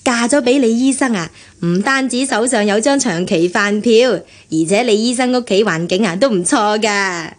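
An actress playing a kindly, chatty, meddling senior housekeeper with a coaxing manner in a radio drama. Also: female voice, on the high side, moderate pace, hardly any pauses, natural voice. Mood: teasing, coaxing. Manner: coaxing, cajoling. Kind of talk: Cantonese radio drama acting.

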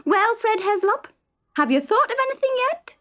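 A woman's voice: extremely high pitch, big pitch swings, moderate pace, fairly bright tone, voice pushed up, full character voice. A woman voicing an anxious, nagging working-class mother in a storybook.

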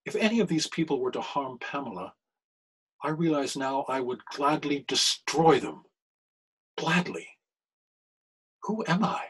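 A man playing an anguished, scholarly clergyman in a period radio mystery, speaking with formal, deliberate diction. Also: a little high, lively intonation, fairly bright tone, husky, heavily acted, rough recording. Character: anguished, scholarly older clergyman posing as crime boss.

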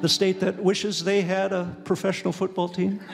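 A man's voice: sits high, flat delivery, moderate pace, gravelly. A man in his sixties joking with a concert audience from the piano, folksy and full of fillers. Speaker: singer and entertainer. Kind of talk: chatty concert patter between songs. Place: church sanctuary, indoors. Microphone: stand mic at the piano. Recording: long church reverb, piano behind.

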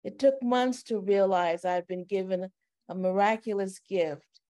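Middle-aged woman, unhurried solemn poetry reading over a webcam, mid-pitched voice. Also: mellow. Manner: slow, measured, solemn delivery. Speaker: co-chair reading her own poem. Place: home, indoors, video call. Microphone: webcam on a video call.

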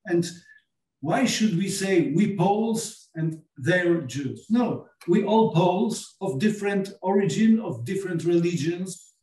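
A man's voice: high voice, lively intonation, unhurried, dark tone. Earnest Polish-accented man, echoey room. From Poland. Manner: earnest, searching for words with many fillers. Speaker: film panel guest. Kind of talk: panel conversation. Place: small meeting room with sofas. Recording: echoey room, voice far from mic.

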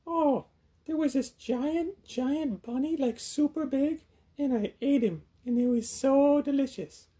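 A man voicing a silly, greedy, self-important furry puppet with a naive, boastful, excitable manner. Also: extremely high pitch, unhurried, voice pushed up. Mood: gleeful. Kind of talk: puppet show banter.

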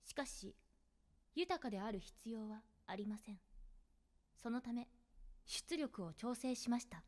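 A woman's voice: on the high side, animated, a little slow, a little mellow, in a large hall. A woman playing an emotionless, dutiful android girl in an anime visual novel, speaking in formal, clipped phrases. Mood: calm, detached.

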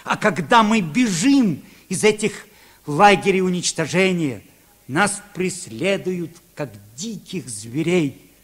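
High-voiced, proud, grieving tribesman. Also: expressive, slow, a bit bright, husky, exaggerated voice. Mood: grave. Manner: grave, declamatory. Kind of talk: Soviet radio play acting.

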